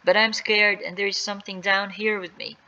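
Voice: medium pitch, little pitch movement, brisk, bright, forceful tone, muffled recording, voice pushed up. A storyteller voicing a frightened young girl pleading in a children's story. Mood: scared, pleading.

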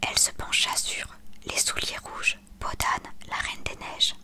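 A woman reading a story aloud as scripted narration, expressive and hushed. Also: unhurried, in a whisper. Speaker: audiobook reader.

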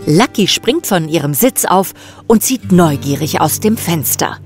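A woman's voice: lowish voice, animated, unhurried, over loud music. A woman narrating a scripted children's audio drama as its storyteller.

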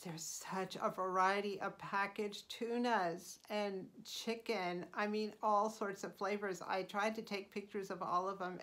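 A middle-aged woman hosting her own channel, talking through casual tips in an unhurried, chatty way with frequent ums and uhs. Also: fairly low pitch, a bit bright. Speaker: channel host. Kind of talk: casual vlog voice-over.

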